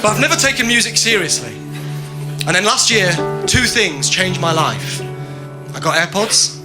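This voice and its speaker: A man performing a deadpan stand-up set, breaking off to restart his bits. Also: sits high, moderate pace, music in the background. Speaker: stand-up comedian. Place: comedy club stage with audience.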